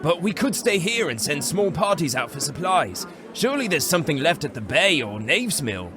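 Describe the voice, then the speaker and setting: A man's voice: fairly high pitch, big pitch swings, normal speed, over music. A man playing a wry, sensible soldier in a fantasy audio drama. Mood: practical. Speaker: voice actor.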